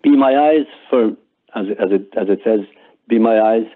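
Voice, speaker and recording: A man's voice: on the low side, moderate pace. An older Irish man chatting casually and reminiscing as an interview guest, often repeating words as he goes. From Ireland. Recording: muffled, phone-like narrowband line.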